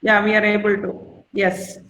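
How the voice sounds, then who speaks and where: Female host, crisp bright tone, formal intro, echoey video-call room. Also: mid-range voice, monotone, unhurried. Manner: formal, reads a prepared introduction. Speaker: faculty host.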